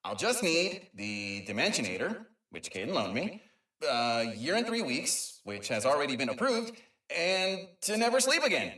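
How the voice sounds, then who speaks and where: A man's voice: on the high side, big pitch swings, normal speed, sharp tone, echoey room, recorded from a distance, low-quality recording. A man voicing an eager, nerdy, kind creature inventor who rambles and stammers through an informal video game audio log. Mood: wry, determined. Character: eager, nerdy, kind male Lombax inventor and dimension mapper.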